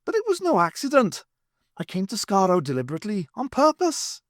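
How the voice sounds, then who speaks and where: A man voicing a sorrowful, pleading Irish space traveller with a high, strained voice and an Irish accent. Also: big pitch swings, normal speed, darkish tone, no room sound, studio quality.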